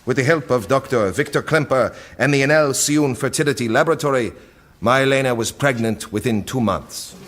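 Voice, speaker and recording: A man acting in an audio drama as a cold, efficient project director, delivering a briefing in a precise, deliberate way. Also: medium pitch, a bit bright, slight background noise, natural voice. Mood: clinical.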